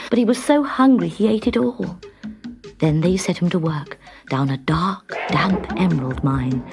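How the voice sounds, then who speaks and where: Casting: female storybook narrator, low register, highly animated and dramatic, music beneath. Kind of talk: scripted children's story narration.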